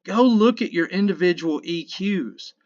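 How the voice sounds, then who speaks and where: A man's voice: animated, a little slow, a little mellow, highs cut off. Folksy man explaining his mixer. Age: 50s. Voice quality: low mid voice. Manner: rambling, self-correcting, folksy. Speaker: sound engineer teaching mixing. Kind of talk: casual screen-recorded tutorial. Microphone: dynamic vocal mic.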